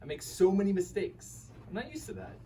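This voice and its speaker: A man's voice: high voice, brisk. A man playing a sheepish, self-doubting husband telling his story in a live radio play reading. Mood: rueful storytelling. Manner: conversational, rueful, animated storytelling. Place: live reading at a bookshop event. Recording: distant mic, faint room noise.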